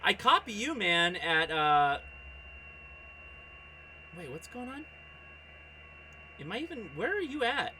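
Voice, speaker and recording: A man hosting a hobby livestream, chatting casually and reacting aloud in an excitable way, often addressing people by name. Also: sits high, slow, pauses often, slight background noise. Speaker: host of a ham radio livestream. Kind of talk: casual livestream chat and running commentary while operating radio.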